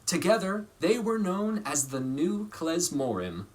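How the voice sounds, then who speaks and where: A man reading a children's story aloud in a measured, expressive way, pausing for emphasis. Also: high voice. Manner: measured, dramatic phrasing with pauses for emphasis. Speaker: storybook reader.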